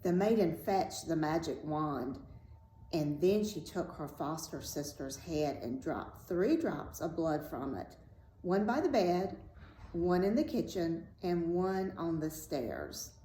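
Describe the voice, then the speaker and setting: A woman reading a fairy tale aloud as host, in a deliberate, dramatic storytelling style. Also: low-pitched, lively intonation, dark tone. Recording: roomy echo, faint background noise.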